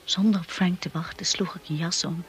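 A woman's voice: lowish voice, fairly even intonation, brisk, natural voice. Woman acting anxious young wife, hissy recording. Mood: haunted, hushed. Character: anxious, timid young wife, narrating and confronting. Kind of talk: radio drama acting with narration. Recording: hissy old radio play recording.

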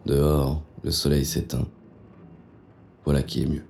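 An actor playing a cynical, contemptuous police inspector who narrates a noir audio drama in a deadpan, sardonic, gravelly voice. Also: male voice, a bass voice, measured pace, a little hiss or hum, full character voice. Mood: brooding, smug.

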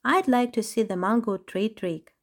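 A woman voicing an eager, cheerful male chipmunk in a storybook, excited and a bit boastful. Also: fairly high pitch, normal speed, lightly acted.

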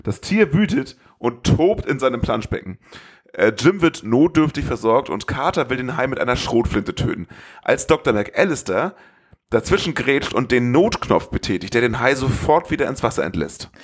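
Man, podcast host, medium-pitched voice, scripted film recap narration. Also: sing-song, normal speed, breathy edge.

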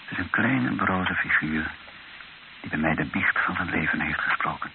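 A man's voice: deep voice, lively intonation, moderate pace, creaky. Een man die in een hoorspel een ernstige, bezorgde Nederlander speelt, die ook bedachtzaam vertelt. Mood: subdued, reflective. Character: earnest, troubled Dutchman, narrator and confidant. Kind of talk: radio play acting with reflective narration. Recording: muffled, hissy old radio recording.

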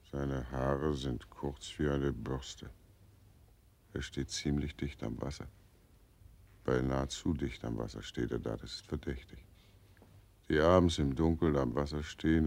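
An actor playing Death as a fat, cynical, belching undertaker in a 1940s radio play. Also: male voice, rumbling low, gravelly, darkish tone, heavily acted. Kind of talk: 1940s radio drama acting.

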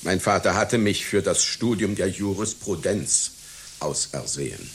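An actor playing a pompous, proud clown with a doctorate, speaking in deliberate, formal diction in a satirical radio play. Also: male voice, low-pitched, lively intonation. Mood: pompous, measured. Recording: hissy radio play recording.

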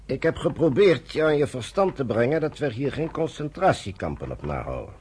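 A man's voice: medium pitch, sing-song, brisk, a little mellow, a little hiss or hum, natural voice. An actor playing an authoritative British male general in a radio drama, speaking in a commanding, curt, dismissive way.

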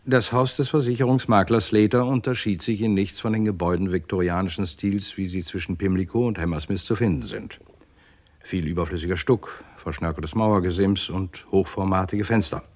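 A man's voice: low voice, steady tone, brisk, darkish tone. A man reading scripted narration for a radio crime drama as a composed storyteller.

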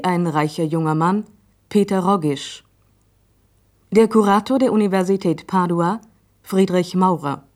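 A female radio announcer reads a scripted list in a formal manner. Her voice is medium in pitch and very unhurried, with neutral, carefully measured delivery. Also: darkish tone.

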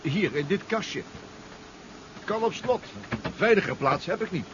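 A proud, spirited inventor-professor in a seventies sci-fi radio play. He is emphatic and swings widely in pitch. The sound is slightly muffled with faint noise. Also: expressive, a little slow. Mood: game, resigned. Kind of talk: 1970s Dutch radio drama acting. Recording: dull, faintly noisy radio play recording.

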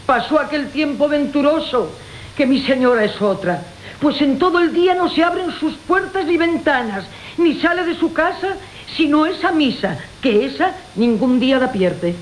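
A high-pitched wily old duenna. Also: female voice, animated, moderate pace, poor audio. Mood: fawning, mournful. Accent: Castilian Spanish. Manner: fawning, sly, ceremonious. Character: wily old female servant-chaperone, obsequious and greedy. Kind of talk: theatrical Golden Age comedy radio acting. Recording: hissy 1980 radio drama recording.

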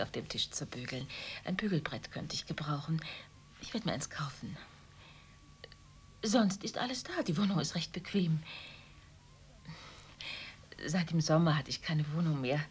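A woman's voice: low voice, slight background noise. An actress playing a tired, chatty mother from next door in a radio play, rambling and confiding. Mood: weary, chatty. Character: tired, chatty neighbour, single mother of a teenage daughter.

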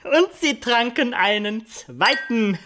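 An actress playing a bossy, tipsy woman presiding over drinks in a radio drama, commanding and drawn-out. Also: fairly high pitch, animated, a bit bright, a little hiss or hum. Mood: boozy, commanding. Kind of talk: German radio drama acting.

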